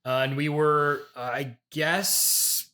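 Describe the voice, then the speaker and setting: A male host of an improvised comedy interview. His voice is clearly bright and a bit higher than average for a man. He phrases things tentatively, often hedging and apologizing as he steers the conversation. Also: steady tone, slow pace. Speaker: podcast host.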